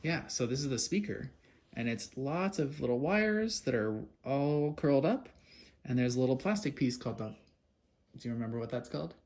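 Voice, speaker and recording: A man's voice: mid-range voice, animated, normal speed, darkish tone. A man casually explaining a hands-on task to a child, patiently prompting with questions. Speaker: parent presenting a homemade kids' take-apart video. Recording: clear background noise, handling clicks.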